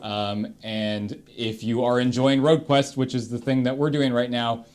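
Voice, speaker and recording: A man's voice: medium pitch, steady tone, unhurried, a little mellow. A man with a Canadian accent, casually and enthusiastically giving recommendations as a panelist on a livestream.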